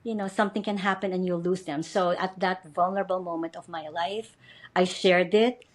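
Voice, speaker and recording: A woman's voice: fairly low pitch, fairly even intonation, normal speed. A woman in her fifties from the Philippines with a Filipino accent, speaking English as a second language, talking earnestly as a podcast guest and often restarting her phrases. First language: not English. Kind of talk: interview conversation.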